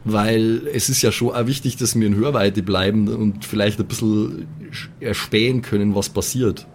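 A man's voice: lowish voice, fairly even intonation, moderate pace, a little mellow, slight background noise. A man talking as a player in an improvised role-playing game, hesitating and repeating words as he thinks aloud. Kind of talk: improvised in-character table talk. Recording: music behind the voice. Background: music.

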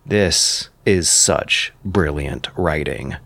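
A man's voice: extremely low pitch, extremely slow, vocal fry, bright, forceful tone, no echo. A man delivering scripted literary commentary as a host, in an erudite, emphatic and enthusiastic manner.

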